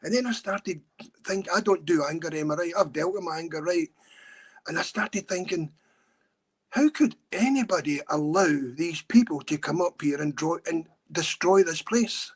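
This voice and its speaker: A man's voice: fairly high pitch, moderate pace, slightly rough. A middle-aged Scottish man telling a story in an earnest, urgent way. He talks a lot, in a casual podcast chat. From Scotland. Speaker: campaigner and guest.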